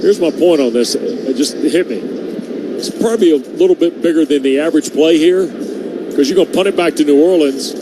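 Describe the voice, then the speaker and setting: Against loud stadium din, an American man calls a football game live on radio. His voice sits a little high as he gives play-by-play from the booth. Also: moderate pace, noisy background. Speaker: play-by-play announcer.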